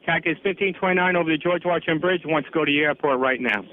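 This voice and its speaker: A man's voice: fairly high pitch, quick pace. An American man working as an air traffic controller, giving clipped, procedural instructions over aviation radio. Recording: tinny narrowband radio with static.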